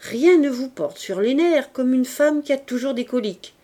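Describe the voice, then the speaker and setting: A woman voicing a haughty young maid with a sharp tongue. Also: sits high, expressive, moderate pace, voice pushed up. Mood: scolding. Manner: scolding, emphatic.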